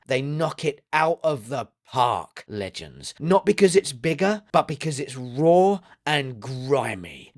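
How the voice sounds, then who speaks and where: A man's voice: mid-range voice, no room sound. Man narrating dramatically, close dry sound. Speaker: video essay narrator and reviewer. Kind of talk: scripted dramatic commentary.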